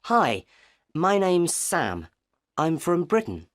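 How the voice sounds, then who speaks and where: A woman with a low voice speaks simple scripted introductions, slow and careful. Her pitch swings widely, and the recording is dry. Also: very animated, dry recording. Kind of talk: scripted learner dialogue.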